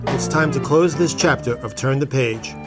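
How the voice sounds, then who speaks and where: Male outro announcer, mid-range pitch, scripted read over prominent plucked guitar music. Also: moderate pace. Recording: loud guitar music under the voice.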